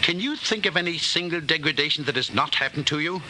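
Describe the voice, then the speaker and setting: An actor playing a cold, controlling party inquisitor in a 1940s radio drama, commanding, deliberate and menacingly calm. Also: male voice, on the high side, lightly acted. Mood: cold, triumphant. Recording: noisy old 1940s radio broadcast.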